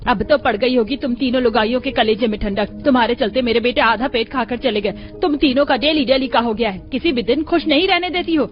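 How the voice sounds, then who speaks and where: An aggrieved, scolding mother-in-law from a village family tale as a woman acts her out. Her voice is high and accusing, with music under a slightly dull recording. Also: brisk, few pauses, close to an everyday voice. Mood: angry, scolding. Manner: nagging, accusing.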